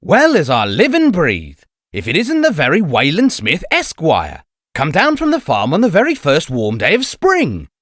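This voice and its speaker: Swooping, courtly, florid gentleman. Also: fairly high pitch, huge pitch swings, moderate pace, no echo, clean studio sound, full character voice. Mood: effusive, welcoming. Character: courtly, effusive old-fashioned gentleman greeter. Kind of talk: comic dub voice acting.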